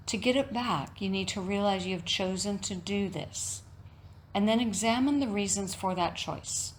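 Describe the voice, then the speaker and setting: A woman leads a meditation talk as a yoga teacher, speaking very slowly at a medium pitch. Her phrasing is deliberate, with frequent pauses between short phrases. There is faint ambient noise behind her voice. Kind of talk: guided meditation talk, reflective and instructional.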